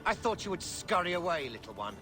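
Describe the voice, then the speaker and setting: An actor playing a ruthless, scheming Roman general who taunts and commands coldly. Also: male voice, high voice, sing-song, fairly quick, heavily acted. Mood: taunting. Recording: game audio under loud music.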